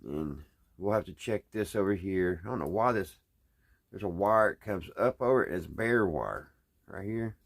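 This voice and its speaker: Guy, folksy, just thinking out loud while he tinkers at the bench. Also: low voice, expressive, moderate pace, creaky. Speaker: hobbyist radio repairer, video host. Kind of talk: casual unscripted hands-on repair vlog. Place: indoors at a workbench.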